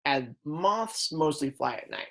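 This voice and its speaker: Female kindergarten teacher, low register, unhurried delivery. Instructive read-aloud for small children, simple explanations and prompts. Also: expressive, a bit bright.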